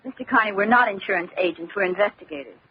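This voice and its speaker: A woman's voice: mid-range voice, fast talker, natural voice. A woman playing a plucky, wry insurance investigator in an old-time radio drama. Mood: composed. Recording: muffled, hissy old radio recording.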